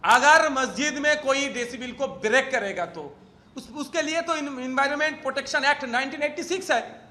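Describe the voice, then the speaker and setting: A man's voice: extremely high pitch, moderate pace, bright, forceful tone. A man making a blunt, colloquial spoken statement in a news clip. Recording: echoey location news clip.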